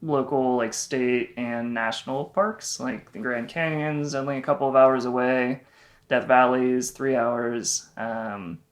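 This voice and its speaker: A man's voice: medium pitch, fairly even intonation, a little slow. A young man co-hosting a travel vlog, chatting casually and rambling with lots of fillers. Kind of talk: casual chat.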